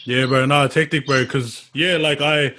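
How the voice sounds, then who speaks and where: A man hosts a podcast in a loose, rambling way, stacking fillers before he gets to his question. His voice sits at an ordinary middle pitch. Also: steady tone, normal speed, bright, forceful tone. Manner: friendly, rambling, says bro and man a lot. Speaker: podcast host. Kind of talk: casual interview talk.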